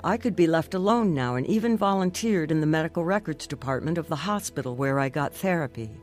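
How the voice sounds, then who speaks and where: A woman's voice: sits low, expressive, brisk, darkish tone. A determined woman tells her own story in a radio drama. Her first-person narration is scripted and reflective, with faint music underneath. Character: determined woman recounting her recovery from a stroke.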